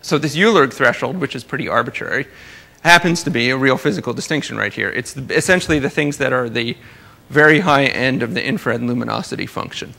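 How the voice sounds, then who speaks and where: A man's voice: medium pitch, a little fast, creaky, pushed and bright. A man giving an informal research lecture, explaining technical material with careful hedges and wry, dry asides. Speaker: astronomer giving a research talk.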